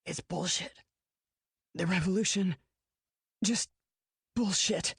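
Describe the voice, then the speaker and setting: A woman's voice: on the low side, slow pace, breathy edge, no echo, studio quality, full character voice. A woman voicing a mortally wounded man who speaks in strained, exhausted, raised bursts. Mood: bitter.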